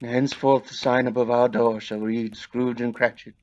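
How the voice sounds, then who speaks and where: A man playing an elderly reformed miser, halting, earnest and formally courteous, in a Victorian audio play. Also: medium pitch, level tone, a little mellow, voice pushed down, natural voice. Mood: warm, emotional. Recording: dull lo-fi recording.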